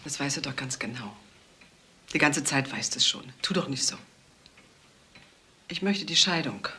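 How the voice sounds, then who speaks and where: An actress playing a bitter, defiant wife who wants a divorce, scornful and mocking, in a German crime radio drama. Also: female voice, very deep voice, expressive, normal speed, bright, forceful tone, exaggerated voice.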